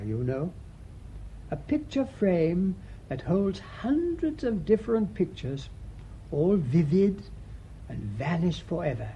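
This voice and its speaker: A British man acting a thoughtful, shrewd priest sleuth in a radio mystery, speaking in a measured, deliberate way. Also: dark tone. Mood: musing, wistful. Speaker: actor in the lead detective role. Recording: muffled radio recording with faint rumbling noise.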